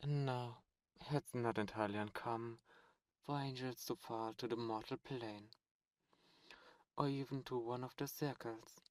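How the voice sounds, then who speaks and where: A man's voice: mid-range voice, dry recording, lightly acted. A man voicing a suave, cold male demon prince in an ASMR roleplay, speaking in a silky, condescending and menacing way. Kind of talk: ASMR roleplay audio drama.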